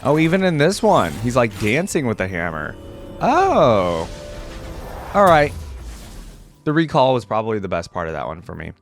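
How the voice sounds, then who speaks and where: A man's voice: medium pitch, big pitch swings, normal speed, pauses often, faint music behind. Casual man reacting and thinking aloud. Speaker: reaction video host. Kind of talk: casual reaction commentary to viewers.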